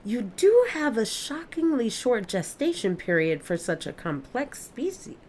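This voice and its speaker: A woman playing a careful, formal alien scientist in an audio play, speaking precisely with deliberate pauses. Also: fairly high pitch, very animated, a little mellow, slight background noise. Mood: careful, hesitant. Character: alien witness, careful, formal female scientist-observer of planets.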